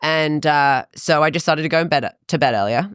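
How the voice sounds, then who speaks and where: A woman in her thirties, a fitness coach, chatting in a podcast interview, animated and quick with asides. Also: low voice, smooth, dry recording, clean studio sound.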